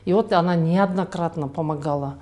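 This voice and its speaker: A middle-aged woman, a fertility doctor, answering interview questions in an unhurried way with frequent hesitations. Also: fairly low pitch, darkish tone, highs cut off. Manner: unhurried, many hesitation sounds.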